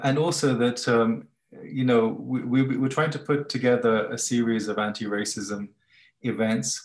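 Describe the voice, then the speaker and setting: A man hosting an online interview, speaking hesitantly with many pauses and restarts. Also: mid-range voice. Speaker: interview host at the institute. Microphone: video call. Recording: echoey room.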